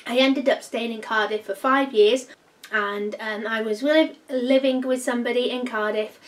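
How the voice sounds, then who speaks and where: A woman's voice: fairly high pitch, steady tone, moderate pace, recorded from a distance. A woman, chatting in a rambling vlog full of ums. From North Wales. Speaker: vlogger. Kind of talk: casual personal Q&A vlog.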